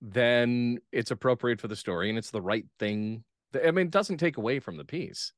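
An American man speaking as a playwright guest in an interview, analytical, measured and thoughtful. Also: medium pitch, no room sound, studio quality. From United States.

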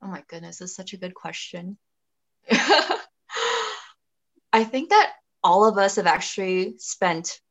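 A young woman with a notably low, full voice talks through a personal question on a podcast. She is earnest and reflective, circling back with fillers and restarts as she works out her thoughts. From Canada. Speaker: podcast guest. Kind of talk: reflective conversational interview.

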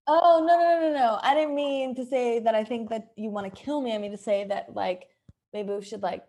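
Improv comedy caller from a bank: flustered, friendly, needy, higher-pitched woman performing. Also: fairly quick, dark tone, close to an everyday voice.

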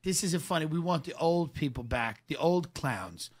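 A man in his thirties hosting a comedy podcast, riffing in long, sardonic, deadpan rants. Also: fairly high pitch, moderate pace. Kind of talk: improvised comedy podcast banter.

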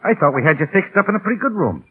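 A loyal, slangy veteran aviator in forties radio acting, on a thin, band-limited old broadcast. His husky voice jumps about excitably, yet stays earnest and folksy. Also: high voice, very fast, narrow, tinny audio, full character voice. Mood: wry. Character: veteran pilot, loyal, plain-spoken, excitable pal.

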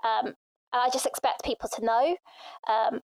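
A woman's voice: a little high, steady tone, normal speed, gravelly, dry recording. A young woman from England being interviewed, talking casually and chattily with many fillers. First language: Thai. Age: young adult. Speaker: interview guest.

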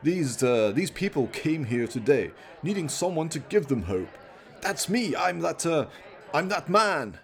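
A man's voice: fairly high pitch, big pitch swings, unhurried, voice pushed up. A man voicing a vain, smarmy politician in his sixties in a radio play, speechifying in a hesitant, stammering, self-important way. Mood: pompous, faltering.

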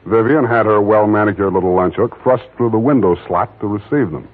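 An actor playing a sardonic private detective with dry wisecracks, in 1940s radio acting on a thin, phone-like old recording. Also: male voice, lowish voice, brisk, lightly acted.

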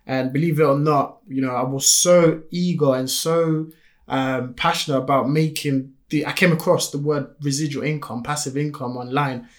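A man's voice: a little high, steady tone, normal speed. A man raised in France whose first language is French, co-hosting a podcast in eager, chatty talk full of fillers. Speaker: podcast co-host. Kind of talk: casual podcast chat.

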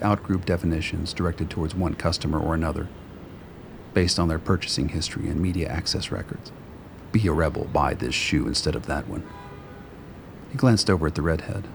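A man's voice: deep voice, gravelly, darkish tone, a little hiss or hum. A man giving scripted narration for a radio play.

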